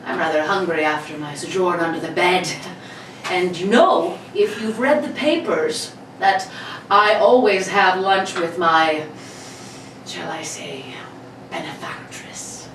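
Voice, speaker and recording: A woman's voice: fairly low pitch, slow. A woman playing a suave, mockingly polite male burglar in a 1930s radio play, teasing and genteel. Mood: amused, courtly. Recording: roomy, distant mic, clear noise.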